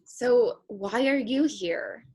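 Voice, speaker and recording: A woman playing a determined, hopeful young aspiring writer, speaking deliberately in a comedic table read. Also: a little high, lively intonation, a little mellow, husky, exaggerated voice. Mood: pondering. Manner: deliberate, resolute.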